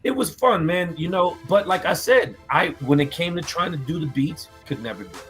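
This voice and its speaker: A man co-hosting a casual music talk show, animated and loose, often restarting his sentences mid-thought. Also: a little high, a little fast. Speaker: co-host. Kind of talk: casual chat.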